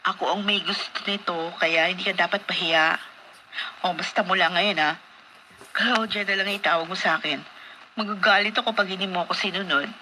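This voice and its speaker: A woman's voice: lowish voice, fairly even intonation, brisk, pushed and bright, slight background noise, low-quality recording. An actress playing a boss's spoiled young daughter in a Filipino radio drama, coaxing and insistent in her lovestruck way. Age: young adult.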